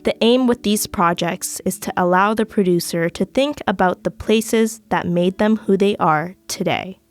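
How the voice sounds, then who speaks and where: A young woman, a student host, reading a scripted podcast introduction in a measured way. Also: medium pitch, dry recording, soft background music. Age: young adult.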